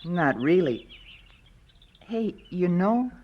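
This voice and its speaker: A woman's voice: lowish voice, big pitch swings, extremely slow, highs cut off, full character voice. A woman playing an earnest, argumentative and observant country schoolboy in a 1970s radio drama, speaking insistently. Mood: curious.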